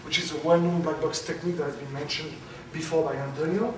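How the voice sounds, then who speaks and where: Loud guy giving an academic talk, lots of uh and um, fairly high voice, very echoey room, mic far off. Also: fairly even intonation, a little hiss or hum. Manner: loud, halting with many fillers. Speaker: conference speaker. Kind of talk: academic presentation. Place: lecture room.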